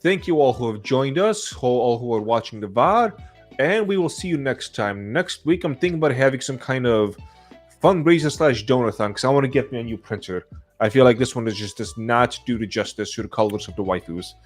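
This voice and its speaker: A man's voice: medium pitch, animated, a little fast, soft background music. A man hosting a podcast in casual, conversational chat. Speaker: podcast host.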